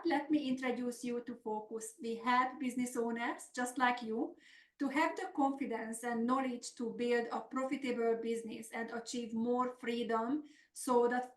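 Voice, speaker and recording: A woman's voice: fairly high pitch, roomy sound. Hungarian-accented woman presenting a business webinar. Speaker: business coach hosting a webinar. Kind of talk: semi-scripted webinar presentation.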